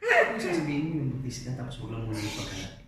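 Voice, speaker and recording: A man's voice: mid-range voice, big pitch swings, racing. A man chatting casually, recorded off mic. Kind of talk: casual chat. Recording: echoey, noisy room, off mic.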